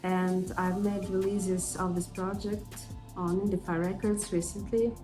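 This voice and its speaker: A woman with a Russian accent talks through interview answers about her music, thoughtful and unhurried, often pausing with "uh" and restarting a word midway. Her pitch sits in an ordinary middle range. Faint music plays quietly behind her. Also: little pitch movement. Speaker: independent musician, interview guest.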